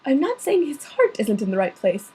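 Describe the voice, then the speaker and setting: A woman's voice: high-pitched, big pitch swings, moderate pace, mellow. A woman reading aloud and voicing a stern, anxious older female professor who speaks sharply. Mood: grudging.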